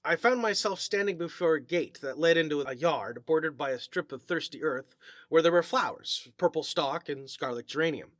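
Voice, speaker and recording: Bright, forceful male storyteller. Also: fairly high pitch, moderate pace, no room sound, studio quality. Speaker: story reader. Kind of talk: dramatic scripted narration of a classic eerie short story.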